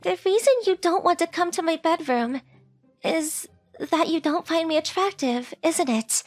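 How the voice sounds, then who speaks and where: A woman's voice: very high-pitched, moderate pace, soft background music. A woman voicing a sweet, polite rich teenage girl who is coy and forward, in a romantic comedy comic dub. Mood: coy, teasing. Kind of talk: anime-style comic dub dialogue.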